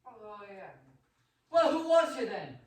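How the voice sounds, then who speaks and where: A woman playing a superstitious, deferential working-class asylum attendant, colloquial and animated, in a radio play. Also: medium pitch, takes it slowly. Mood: flustered, gruff. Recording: very echoey room, distant mic, faint noise.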